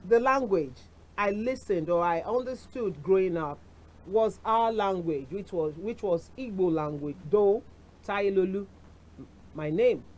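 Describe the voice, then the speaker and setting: A woman's voice: mid-range voice, expressive, a little slow, darkish tone, poor audio. A middle-aged woman with a Nigerian accent hosting a television talk show, speaking in a chatty way with asides and dropping in Yoruba phrases. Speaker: TV show host. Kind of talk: casual chat to camera.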